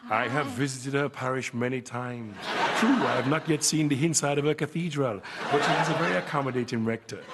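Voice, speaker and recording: An actor with a Jamaican accent playing a bold, lecherous, pompous church minister in a broad stage comedy. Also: male voice, mid-range voice, fairly quick, hardly any pauses, heavy background noise, close to an everyday voice. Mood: suggestive, smug. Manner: pompous, suggestive preaching.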